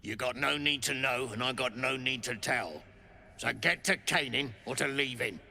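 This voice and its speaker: An actor playing a gruff, bossy, foul-mouthed dwarf overseer of slaves in a video game, talking in curt earthy slang. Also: male voice, medium pitch, moderate pace, pushed and bright, a little hiss or hum. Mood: impatient, threatening. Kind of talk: video game character acting.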